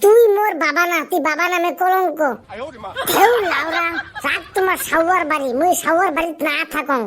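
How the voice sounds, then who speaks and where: A man voicing a cheeky, hot-tempered cartoon schoolboy with a northern Bengali accent, in an artificially high, pitched-up childlike voice. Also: a little fast, crowd noise. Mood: defiant, irritated. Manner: brash, cursing, bickering. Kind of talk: comedy cartoon voice acting.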